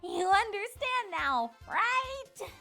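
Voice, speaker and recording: A young female pony from a cartoon story. The voice is very high and swings widely in pitch, with a bright edge. The delivery is theatrical and dramatic, exasperated or fussy, with music underneath. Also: extremely slow, exaggerated voice. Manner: theatrical, expressive. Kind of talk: cartoon fan-fiction dramatic reading.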